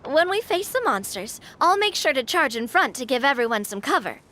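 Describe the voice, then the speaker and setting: A woman's voice: high-pitched, big pitch swings, brisk, pushed and bright, full character voice. A woman playing a confident, friendly young monster hunter in an anime game, upbeat and encouraging.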